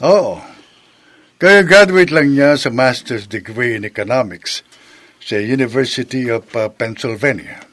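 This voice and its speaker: Man acting proud retired Filipino father, medium pitch. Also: a little slow, a bit bright, lightly acted. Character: proud retired bank president, father pushing his son forward. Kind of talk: scripted Tagalog video drama acting.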